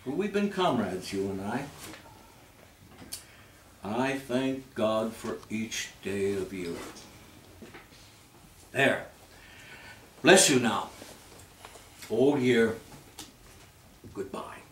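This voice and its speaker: A man reciting poetry in a theatrical, measured manner, with phrases that swell and then fall away. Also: mid-range voice, expressive, a bit bright. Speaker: reader at a readers theater event. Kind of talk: dramatic poetry recitation with spoken introduction. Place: live reading in a roomy space. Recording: roomy, distant microphone, background noise.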